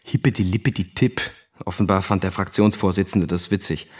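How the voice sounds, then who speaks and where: A man's voice: sits low, fairly even intonation, brisk, slightly rough. A man playing an observant, mildly mocking witness, narrating matter-of-factly in a radio drama. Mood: wry.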